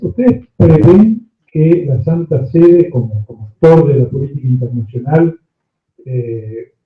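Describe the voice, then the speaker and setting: A man posing a long spontaneous question at a panel, with halting, repetitive phrasing. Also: medium pitch. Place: echoey indoor room at panel event. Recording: thin phone-like sound, echoey room.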